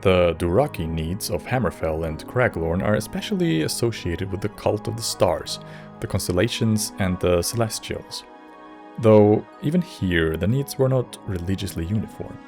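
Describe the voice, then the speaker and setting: Low-voiced man narrating a lore video. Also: animated, moderate pace. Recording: music bed under narration. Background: background music.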